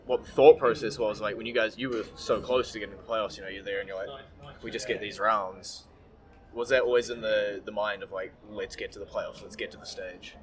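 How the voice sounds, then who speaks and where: A man from New Zealand with a New Zealand accent, interviewing a player in a chatty way, often pausing on fillers. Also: on the low side, fairly even intonation, rough recording. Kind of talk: casual interview.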